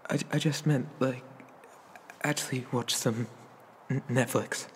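A man voicing a shy, gentle young male demon in an ASMR roleplay, soft and hesitant, often slipping into whispers. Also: medium pitch, fairly even intonation, a little slow, natural voice. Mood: nervous, tender. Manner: hesitant, stammering, bashful. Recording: noticeable background noise.

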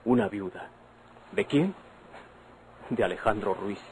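An earnest young Mexican husband in an old radio drama. His low voice argues in measured, formal phrases, persuasive and pleading. The vintage recording is noisy. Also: darkish tone. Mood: insistent.

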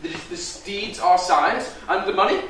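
A man's voice: high-pitched, animated, normal speed, a bit bright. Guy playing an oily melodrama villain, declaiming on stage, echoey hall, mic way back in the crowd. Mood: smooth. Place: small theatre stage. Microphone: far camera in audience. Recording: echoey hall, far-off mic, audience noise.

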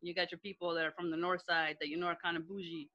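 A woman's voice: lowish voice, level tone, quick pace, bright, forceful tone, lo-fi sound. A middle-aged woman from Chicago hosting a casual video-call interview from home, chatty and gushing, swearing freely. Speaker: host. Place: bedroom at home. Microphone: laptop webcam video call.

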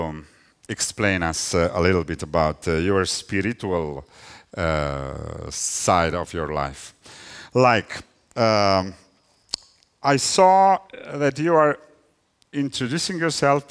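A middle-aged man with a Romanian accent, a native Romanian speaker, interviewing on stage in halting English, searching for words. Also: on the low side, fairly bright tone, clean tone, long reverb tail. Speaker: host, interviewer. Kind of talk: stage interview before an audience. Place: large hall stage, indoors. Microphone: headset.

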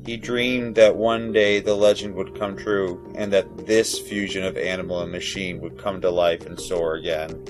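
A man's voice: low-pitched, fairly even intonation, normal speed, few pauses, poor audio. A man reading scripted, dramatic fantasy narration for an audio drama. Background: music.

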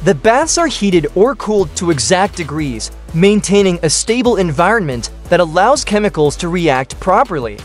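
A man reading scripted documentary narration, explaining each step in steady, short listed phrases. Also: high-pitched, moderate pace, few pauses. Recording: voice-over with faint background music.

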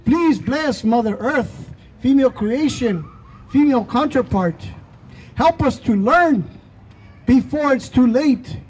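A man leading a solemn outdoor prayer in a slow, measured way, speaking into a handheld mic. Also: extremely high pitch, husky, poor audio. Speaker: prayer leader. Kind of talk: spoken prayer. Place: outdoors in a park.

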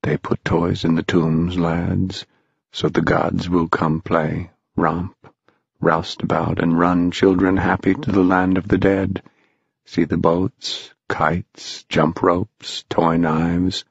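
A man voicing a sly, dry old spirit guide in a storybook, commanding and conspiratorial, with a hushed, deliberate manner. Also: rumbling low, steady tone, vocal fry, husky, no room sound, lo-fi sound, voice pushed down, heavily acted. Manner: hushed, deliberate, addressing boys as lads.

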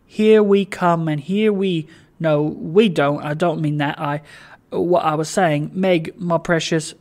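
A kindly, poor old father from a storybook, acted out by a narrator, with a creaky, gravelly voice and an unhurried pace. He is cheery and eager, rambling on and tripping over his own words in a flustered way. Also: medium pitch, fairly even intonation, darkish tone. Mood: delighted, teasing. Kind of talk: dramatised storybook reading.

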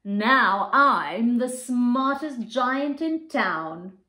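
A woman doing a gentle scruffy giant. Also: fairly high pitch, expressive, takes it slowly, roomy sound. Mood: proud. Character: shy, kindly scruffy giant, big and gentle. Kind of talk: storybook read-aloud.

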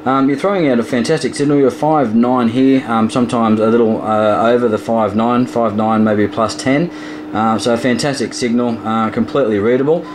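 A man's voice: mid-range voice, steady tone, normal speed. Man chatting amid background noise. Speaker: amateur radio operator. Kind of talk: casual ham radio contact chat. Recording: clearly noisy room recording by radio.

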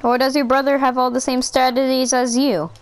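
Woman doing a boastful old goat-turned-T-Rex, high, taunting, dry close sound, game machinima. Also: little pitch movement, brisk, dry recording, natural voice. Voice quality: high-pitched voice. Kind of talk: amateur game machinima voice acting.

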